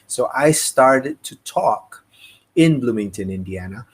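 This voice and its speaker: A man with a Midwestern American accent, hosting a livestream in a relaxed, chatty way and greeting viewers one by one. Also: mid-range voice, slow pace, husky. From Malaysia. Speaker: livestream host. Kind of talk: casual livestream chat. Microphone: desk mic on a streaming setup.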